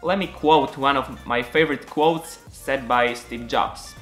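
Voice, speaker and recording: A man's voice: medium pitch, a bit bright, soft background music. Young Polish-accented man, earnest narration. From Poland. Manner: earnest, slightly halting second-language delivery with frequent fillers. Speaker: animator and channel creator. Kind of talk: casual making-of narration.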